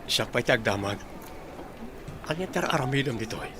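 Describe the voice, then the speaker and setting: A man's voice: mid-range voice, lively intonation, fairly quick, background noise, natural voice. A man playing a sincere young suitor in an audio drama. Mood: earnest. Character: sincere young man, courting, done with his ex.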